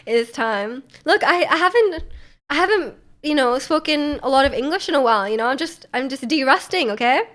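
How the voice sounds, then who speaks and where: A young woman from Canada with a high, light voice chats loosely to her stream audience. She rambles and giggles, filling her talk with "like" and quick restarts. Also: lively intonation, a bit bright. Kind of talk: casual chat.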